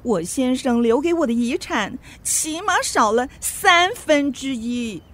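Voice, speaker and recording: An actress in a radio drama playing a gossipy, wealthy French widow, inquisitive and full of affected exclamations. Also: female voice, high voice, expressive, slow pace, a bit bright, heavily acted. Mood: plaintive, then smug.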